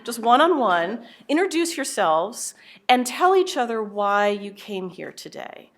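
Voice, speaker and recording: A woman in her fifties, a professor, giving a conference talk in a lively, conversational way with a loud voice at a podium microphone in a hall. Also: a little high, unhurried. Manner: lively, conversational, self-aware. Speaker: keynote speaker, professor.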